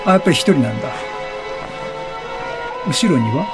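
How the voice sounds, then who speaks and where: A man with a high-pitched voice gives a running game commentary. He is chatty and reactive, swinging widely in pitch and firing off repeated exclamations. Game music plays behind him. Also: animated, moderate pace. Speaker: gaming streamer. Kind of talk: excitable let's-play commentary, reading game text aloud. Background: video game music.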